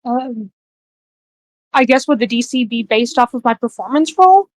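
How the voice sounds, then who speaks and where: A woman's voice: fairly high pitch, lively intonation, normal speed, no echo. A woman chatting casually as a player in a tabletop roleplaying game.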